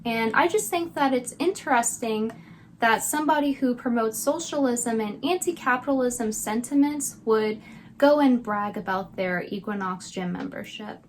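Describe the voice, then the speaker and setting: High-voiced sarcastic woman commentator, background noise. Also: normal speed. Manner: sarcastic, mocking. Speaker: video commentator. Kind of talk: scripted commentary with sarcastic asides.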